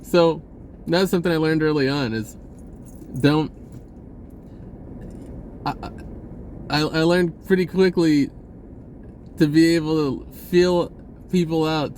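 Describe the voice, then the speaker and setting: A man speaking in a casual, rambling spiritual monologue, halting and drifting in an informal way. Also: medium pitch, a little mellow, a little hiss or hum. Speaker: spiritual vlogger.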